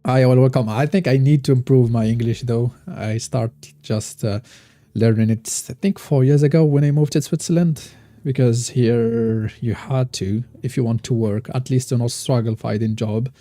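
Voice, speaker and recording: Guy streaming a game, chatting with chat in halting english, deep mellow voice, dry sound. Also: steady tone, no room sound. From Morocco. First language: Moroccan Arabic. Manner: thinks aloud, chats with viewers, halting non-native phrasing. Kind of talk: casual live-stream gameplay commentary.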